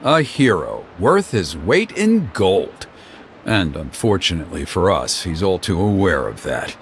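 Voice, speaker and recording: A man's voice: low-pitched, sing-song, a little slow, a little hiss or hum, exaggerated voice. A man playing an exasperated, gossipy male ceremony organizer who grumbles and sighs. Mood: griping.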